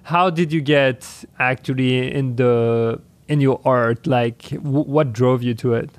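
A man's voice: medium pitch, takes it slowly. A man hosting a casual interview, friendly and rambling, often restarting his words. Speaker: podcast host.

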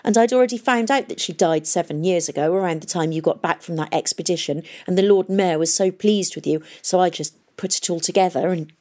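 A woman's voice: lowish voice, a little fast, hardly any pauses, darkish tone, lightly acted. A woman reading the part of a young woman who bitterly accuses her father of murder.

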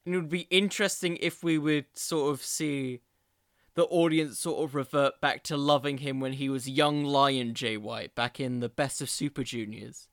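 A man's voice: mid-range voice, fairly even intonation, normal speed, a bit bright, no room sound, clean studio sound. A man hosting a podcast in a casual commentary style, thinking aloud in a rambling, self-deprecating way. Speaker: podcast host.

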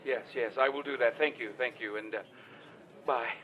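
A man's voice: medium pitch, fairly even intonation, moderate pace, natural voice. A man acting as a frantic, flustered caller in an audio comedy drama, stammering and repeating himself over a muffled phone line. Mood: flustered, sheepish. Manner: stammering, repetitive, apologetic. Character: frantic, flustered, easily panicked male caller under siege.

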